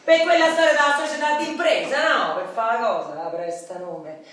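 A woman's voice: fairly high pitch, steady tone, normal speed, fairly bright tone, close to an everyday voice. An actress playing a hard-luck, streetwise working-class woman with a Roman accent, blunt and plaintive in a radio play. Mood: wry complaining. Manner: colloquial, blunt, resigned. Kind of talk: theatrical dialogue, Italian radio play. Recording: very echoey, distant, noisy stage recording.

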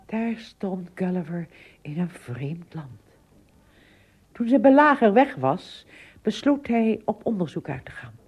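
A woman narrating an adventure tale in a radio play, with expressive, dramatic phrasing and a husky, breathy voice. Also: fairly low pitch, measured pace, exaggerated voice. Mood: suspenseful storytelling. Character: female storyteller of an adventure tale.

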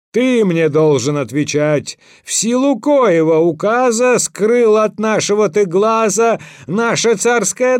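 Man playing a hot-tempered, high-pitched, bullying tsar. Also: dry recording, studio quality. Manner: imperious, shouting outbursts. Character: hot-tempered, boastful, bearded old tsar, bullying and threatening. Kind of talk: dramatized verse fairy-tale reading.

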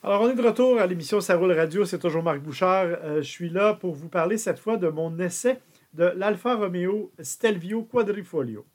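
A man hosting a car radio show, talking casually and often pausing on "euh" and repeated words. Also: sits high, darkish tone. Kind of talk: car review radio talk.

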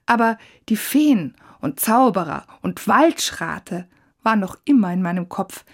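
A woman reading a first-person literary narration in a measured, reflective manner. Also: mid-range voice, lively intonation.

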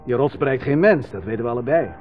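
A man's voice: mid-range voice, lively intonation, quick pace, close to an everyday voice. An actor playing a self-mocking romantic loser who declaims grandly to his beloved in a 1970s radio drama. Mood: amorous, grandiose. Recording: slightly dull old radio recording. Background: prominent music.

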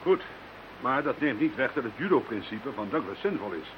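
Actor as a businesslike man, lively intonation. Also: mid-range voice, moderate pace, lightly acted. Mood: brisk, insistent. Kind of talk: Dutch radio play acting. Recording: dull, clearly hissy radio play recording.

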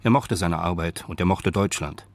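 A man narrating a crime radio drama in a sober, detached, deadpan and measured manner. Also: deep voice. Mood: matter-of-fact.

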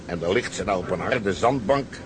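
A hard boastful sailor in a sea drama, shrugs things off, noisy engine background. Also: male voice, deep voice, expressive, moderate pace. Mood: boastful, satisfied. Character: hard, callous male seaman, unrepentant adventurer. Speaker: actor in a Dutch maritime drama. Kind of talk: dramatic seafaring acting.

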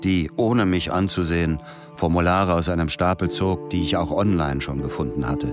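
An actor playing a brooding, tormented man who narrates a radio drama in a sombre, measured, inward way. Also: rumbling low. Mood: weary, factual. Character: brooding adult male narrator, tormented former agent.

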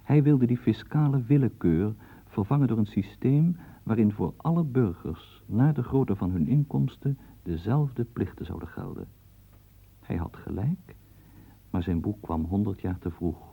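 Husky low-voiced guy narrating history, measured and a bit ironic, thin phone-like sound. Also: expressive. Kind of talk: scripted documentary narration. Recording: tinny phone-like old broadcast.

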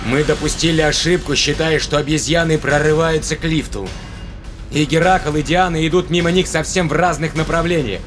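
A man's voice: on the high side, fairly even intonation, fairly quick, over music, natural voice. An actor playing a terse, serious male spaceship crewman in a sci-fi audio drama. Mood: urgent, worried.

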